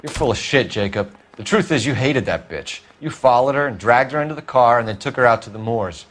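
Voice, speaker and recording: An American actor playing a stern young 1940s police detective in a video game crime drama, speaking with clipped authority. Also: male voice, mid-range voice, animated, brisk, a bit bright, soft background music, lightly acted. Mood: furious accusation.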